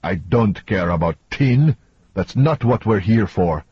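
A man's voice: fairly low pitch, lively intonation, measured pace, breathy edge, no echo, muffled recording, exaggerated voice. A man voicing an exhausted, impatient man who leads a desperate covert mission in an audiobook. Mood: angry. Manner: demanding, impatient, swearing. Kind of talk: audiobook character dialogue.

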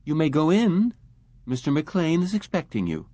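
A polite young receptionist woman, as a male narrator puts her on speaks pleasantly and far higher than his usual voice. The sound is close and dry. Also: big pitch swings, moderate pace, warm tone, no room sound.

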